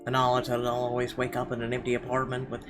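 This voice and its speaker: A man reading a horror visual novel aloud in an expressive, theatrical narration with weighty pauses. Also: medium pitch. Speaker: let's player. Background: game music.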